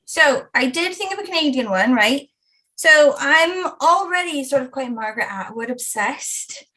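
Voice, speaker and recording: A woman's voice: high voice, lively intonation, moderate pace, bright, forceful tone, low-quality recording. Gushing young woman. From Wales. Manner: gushing, lots of "like" fillers. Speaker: booktuber co-host. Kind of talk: casual book chat.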